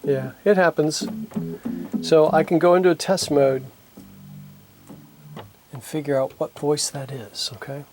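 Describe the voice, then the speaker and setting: A man talking casually through his repair work at a home workbench, thinking aloud and halting with fillers, recorded on a camera microphone. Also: on the high side, over music. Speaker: hobbyist synth repairer. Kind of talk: casual narration while working. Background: synthesizer being played.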